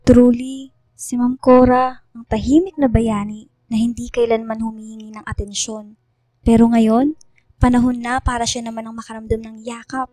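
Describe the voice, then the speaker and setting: A woman playing a tender, earnest schoolgirl in a radio drama, speaking softly and sincerely. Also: on the high side, flat delivery, normal speed, no echo, close to an everyday voice. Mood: admiring, tender. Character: Lia, earnest, tender schoolgirl grateful to her teacher. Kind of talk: radio drama dialogue and heartfelt letter reading.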